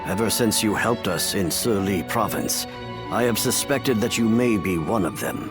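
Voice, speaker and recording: An actor playing a grave, remorseful imperial official and adoptive father in a video game, with measured, formal delivery. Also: fairly low pitch, over music, natural voice. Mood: solemn, explanatory. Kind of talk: video game cutscene acting.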